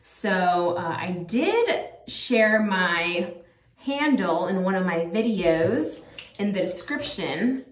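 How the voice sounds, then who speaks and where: A woman's voice: medium pitch, lively intonation, slow. Rambly chatty woman in her 30s, lots of ums, kinda far from the mic in an echoey room. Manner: rambling, chatty, many fillers. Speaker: vlogger. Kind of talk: casual chat to camera.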